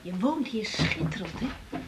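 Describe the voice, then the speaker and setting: A woman acting in a 1980s Dutch radio drama as a young doctor, halting, polite but candid, with a Netherlands Dutch accent. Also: mid-range voice, sing-song, a little hiss or hum. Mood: subdued.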